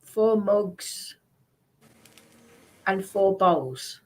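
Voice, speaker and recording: A woman talks off the cuff on a livestream in a mid-pitched voice. She is blunt and swears freely, and she hammers her points home by repeating them emphatically. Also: lively intonation, drawn-out words. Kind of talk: casual livestream chat and rant.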